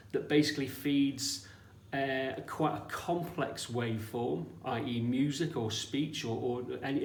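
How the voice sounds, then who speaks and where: Man, science teacher, medium pitch, informal demonstration, explanatory, short check-in questions, echoey room recording. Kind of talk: informal hands-on demonstration lesson. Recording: echoey room with faint background noise.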